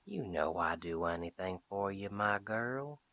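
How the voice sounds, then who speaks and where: Man playing a slow-talking, bright-voiced friendly cowboy sheriff. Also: low-pitched, animated, heavily acted. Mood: fond, coaxing. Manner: folksy drawled western phrasing, unhurried. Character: friendly, protective cowboy sheriff of the Old West. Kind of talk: roleplay audio drama, speaking softly to the listener.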